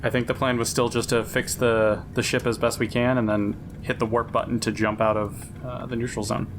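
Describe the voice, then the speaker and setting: A man with a middling pitch talks casually as a tabletop role-playing player. Clear noise and a low rumble run behind his voice. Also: little pitch movement, fairly quick.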